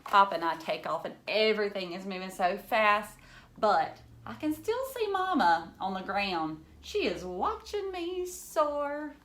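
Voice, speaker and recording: Woman reading as an eager, unhurried young dreamer girl. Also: medium pitch, sing-song, a bit bright. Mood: excited. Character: eager ten-year-old girl who dreams of flying.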